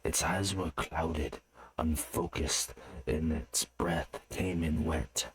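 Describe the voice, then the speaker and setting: A man's voice: very deep voice, slow pace. A man reading scripted fantasy story narration as a narrator.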